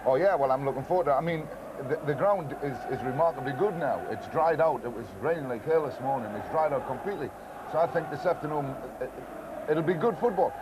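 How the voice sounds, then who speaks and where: Chatty, hesitant man talking football, quick. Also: on the high side, noisy background. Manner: chatty, hesitant, full of restarts. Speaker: studio pundit guest. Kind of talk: casual pre-match chat.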